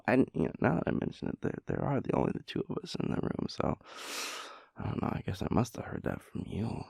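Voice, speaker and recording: Gravelly, breathy, drowsy boyfriend played by a man. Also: medium pitch, darkish tone, dry recording, studio quality. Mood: playful, feigning innocence. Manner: halting, mumbling, playfully pompous wordy rambling. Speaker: audio roleplay performer. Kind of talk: intimate audio roleplay, half-whispered comic monologue.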